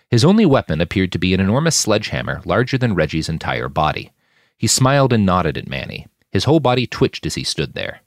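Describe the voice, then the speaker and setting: A man's voice: deep voice, no echo, clean studio sound. A man reading a fiction story aloud as a dramatic audiobook narrator. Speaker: author narrating his fiction podcast.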